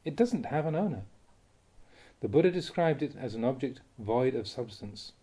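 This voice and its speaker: A man reading a Buddhist spiritual talk aloud in a deliberate, expressive, explanatory manner. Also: medium pitch, husky. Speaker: reader of Buddhist teachings, monk.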